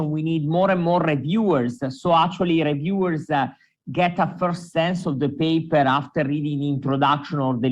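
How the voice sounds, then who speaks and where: A man with a strong Italian accent giving tips as a journal editor on a conference panel, pausing often on fillers. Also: fairly high pitch, a little mellow, poor audio. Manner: hesitant, many fillers.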